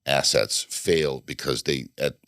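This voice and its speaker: Middle-aged man from Bosnia, very low voice, loose rambling interview talk, dry studio sound. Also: slow pace, creaky, fairly bright tone, no echo. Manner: rambling, restarts sentences, dry self-deprecating jokes. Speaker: podcast guest, former undercover FBI agent. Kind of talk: casual interview conversation.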